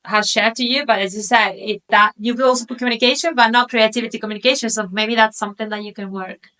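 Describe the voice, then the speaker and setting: A woman's voice: on the high side, steady tone, fast, pushed and bright. A woman facilitating a workshop, explaining the group exercise in an encouraging way.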